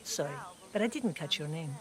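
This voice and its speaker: A woman's voice: deep voice, lively intonation, fairly quick. A haughty socialite in a video game, her intonation swinging widely over faint background noise. She sounds condescending and sardonic. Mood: mocking. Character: haughty, mocking female socialite. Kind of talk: video game character acting.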